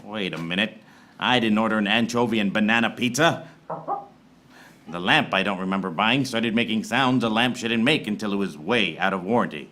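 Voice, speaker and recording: An actor playing a wry, hard-boiled private eye who narrates a parody noir radio serial in deadpan, recorded live on stage. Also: male voice, on the low side, moderate pace. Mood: wry narrating. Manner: deadpan pun-laden monologue. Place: small theater stage before live audience.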